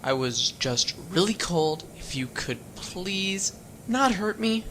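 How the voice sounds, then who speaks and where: A timid, wary young drifter. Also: male voice, high-pitched, expressive, takes it slowly, a little hiss or hum, heavily acted. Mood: fearful. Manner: hesitant, pleading, soft-spoken. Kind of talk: audio drama acting.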